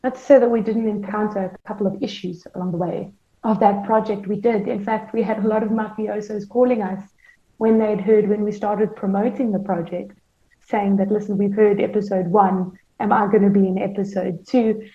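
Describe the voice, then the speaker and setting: A woman's voice: medium pitch, fairly even intonation, normal speed. A woman speaking as a guest producer, explaining her work in an interview style. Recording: muffled, roomy, echoey audio.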